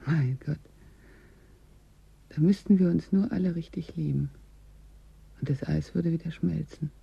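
An actor playing a puzzled, questioning man in a radio drama, with a rough, creaky voice. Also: on the high side, measured pace, mellow, no room sound, full character voice. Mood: amazed. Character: puzzled, questioning listener moved by the idea. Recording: dry, dull lo-fi sound.

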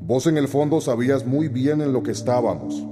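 An actor playing a cynical, greedy, taunting husband in a radio drama, with a Central American accent. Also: male voice, mid-range voice, animated, moderate pace, natural voice. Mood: mocking, scornful. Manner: sneering, taunting. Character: cynical, greedy, manipulative husband, mocking his wife. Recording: long echo, loud music behind.

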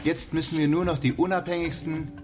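A man plays a methodical engineer presenting in a radio play. His voice is rather high for a man and precise, heard from a distance in a very echoey hall. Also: level tone, brisk, over music, close to an everyday voice. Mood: explanatory. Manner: precise, explanatory. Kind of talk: radio drama acting. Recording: very echoey hall, far from mic.

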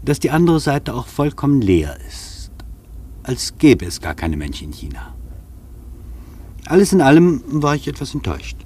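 Wry, husky-voiced male traveller. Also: mid-range voice, a little mellow. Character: wry, opinionated male traveller recounting his journey. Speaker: narrator of a radio travelogue. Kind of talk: literary first-person radio narration.

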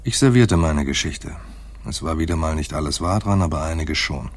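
A man's voice: rumbling low, moderate pace. An actor playing a laconic, shrewd private detective in a radio play, dry and slangy, telling his case like noir. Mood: dry narration. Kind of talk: 1980s German radio play, noir dialogue and narration.

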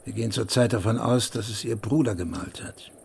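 Male detective sergeant, gravelly fry, blunt, matter-of-fact, radio crime drama, slight background ambience. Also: lowish voice, fairly quick, a little mellow, slight background noise. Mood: businesslike, probing. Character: blunt, businesslike male police sergeant investigating a murder.

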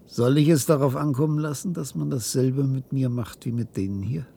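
An actor playing a weary, guilt-ridden old inventor in a science-fiction radio drama, halting and theatrical. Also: male voice, medium pitch, vocal fry, husky. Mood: grave. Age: older. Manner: halting, theatrical delivery with hushed passages.

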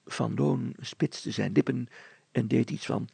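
Male storyteller for a children's tale: gravelly, slow-paced, scripted narration. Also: medium pitch, darkish tone, no echo.

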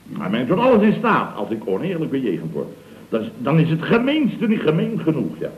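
A man's voice: on the high side, huge pitch swings, brisk. A man playing a blustering, self-righteous, jokey contractor boss, pompous and repetitive, in an old radio comedy serial. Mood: indignant, blustering. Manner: pompous, exclamatory, repeats himself in clipped bursts. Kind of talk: 1970s Dutch radio comedy acting. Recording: roomy, dull, noisy old radio recording.

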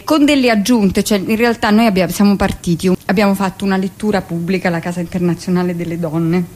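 A woman's voice: mid-range voice, steady tone, fast, a little mellow. A woman actress, chatting in a radio interview, laughing often and acting out quoted lines. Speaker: actress and guest.

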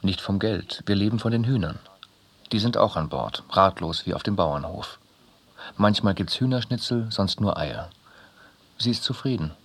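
Low raspy guy narrating a literary radio play. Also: animated, normal speed. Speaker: reader in a radio drama.

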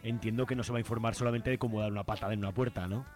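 A man with a Peninsular Spanish accent hosts a radio interview. His voice is lowish and his delivery brisk and conversational, peppered with short checking questions. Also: steady tone, a little mellow. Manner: uses frequent checking tags. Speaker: radio host.

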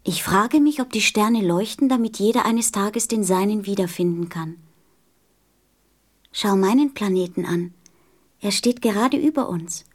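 A woman's voice: medium pitch, measured pace, natural voice. Woman doing a small innocent boy in a radio play, curious n earnest, kinda wistful. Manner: earnest, questioning, childlike.

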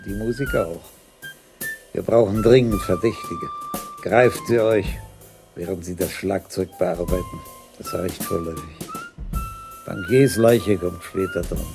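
An actor playing a composed older police commissioner who speaks in deliberate, terse phrases in a radio crime play. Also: male voice, low-pitched, over music. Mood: decisive.

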